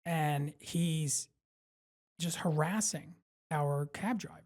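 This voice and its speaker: Comedian guest, man, New York accent, slightly husky, loose and profane storyteller. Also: fairly high pitch, takes it slowly. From Brooklyn, New York. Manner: animated, profane, rambling, full of fillers and self-mockery. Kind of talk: casual storytelling in a podcast interview.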